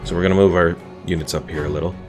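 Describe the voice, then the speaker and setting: Low gruff streamer guy, rambly, says like a lot, game music in the back. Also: steady tone, very fast. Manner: loose and rambling, full of "like" and "kinda". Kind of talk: casual live-stream commentary reading chat. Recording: game music playing under the voice.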